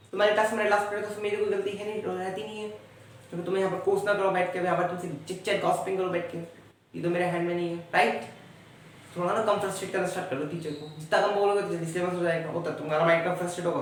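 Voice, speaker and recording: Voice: medium pitch, recorded from a distance, a little hiss or hum, lightly acted. Performer doing a worn-out ranting male teacher. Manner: exasperated ranting, Hindi-English mix. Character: fed-up male schoolteacher, tired. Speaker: teacher in a classroom skit. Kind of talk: comedy sketch acting. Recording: echoey room, voice off-mic.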